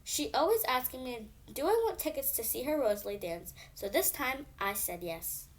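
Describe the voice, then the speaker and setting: A woman's voice: high voice, expressive, moderate pace. A woman voicing a proud, encouraging Caribbean grandmother in a children's story, in a folksy, emphatic way. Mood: fond, proud.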